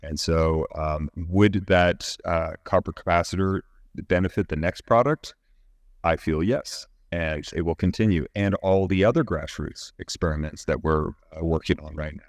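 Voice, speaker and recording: A man giving technical, explanatory interview answers as a company executive, measured and often halting mid-phrase. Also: sits low, no echo, studio quality. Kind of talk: explanatory interview answers about product engineering.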